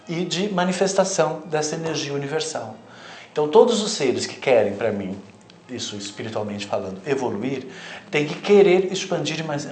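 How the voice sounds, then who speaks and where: A Brazilian man with a mid-range voice explains spiritual concepts as a studio guest. He speaks reflectively, often tagging his sentences with "né". The room has a noticeable echo. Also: medium pitch. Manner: reflective, explanatory, frequent "né" tags. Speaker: therapist, guest. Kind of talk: spiritual interview talk.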